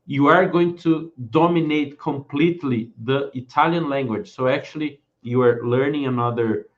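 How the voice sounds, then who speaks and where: A man's voice: mid-range voice, steady tone, normal speed, muffled recording. A man interviewing a guest, adding enthusiastic comments and often saying "you know".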